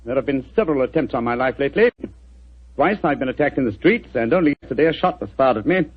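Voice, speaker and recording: Self-assured detective, lively quick delivery, thin husky old broadcast. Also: male voice, mid-range voice. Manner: brisk, self-assured, dismissive. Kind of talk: 1940s radio acting. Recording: tinny husky 1940s radio broadcast.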